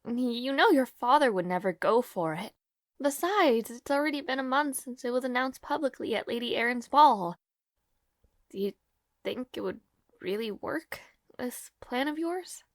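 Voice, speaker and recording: A woman's voice: fairly high pitch, animated, normal speed, no echo, studio quality, close to an everyday voice. A woman acting in an audio roleplay as a devoted young maid to a noble lord, nervous and caring, with a hesitant, formal and apologetic manner. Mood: gently worried. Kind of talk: scripted audio roleplay, intimate fantasy drama.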